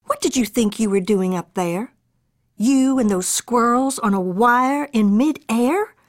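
A woman's voice: fairly high pitch, a little slow, dry recording, clean studio sound, lightly acted. A woman voicing a fretful mother cat who wails and scolds in rhyming storybook lines. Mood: scolding. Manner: dramatic, sing-song rhyming lines.

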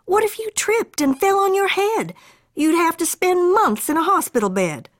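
A woman's voice: very high-pitched, very animated, normal speed, dry recording, studio quality, voice pushed up. A woman voicing a fretful mother cat who wails and scolds in rhyming storybook lines. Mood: scolding. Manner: dramatic, sing-song rhyming lines.

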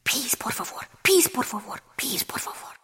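A woman voicing a young, excitable boy cat in a storybook, dramatic and whiny. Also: extremely high pitch, unhurried, creaky, no room sound, clean studio sound, voice pushed up, exaggerated voice. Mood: nervous chanting. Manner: dramatic, whiny when scared. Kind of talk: storybook character voice.

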